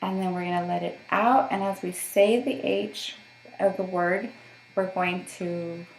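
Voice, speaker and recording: A woman's voice: on the low side, fairly even intonation, measured pace, vocal fry, reverberant, low-quality recording. A woman working as a voice therapist, giving patient step-by-step instructions for voice exercises and checking in often. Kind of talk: guided voice therapy session.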